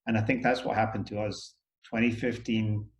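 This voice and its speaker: A man's voice: fairly low pitch, level tone, moderate pace, roomy sound. A middle-aged man with a Scottish accent, talking thoughtfully as an interview guest, often restarting his sentences. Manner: thoughtful, many restarts and fillers. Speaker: outsourcing company CEO, guest. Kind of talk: casual interview talk.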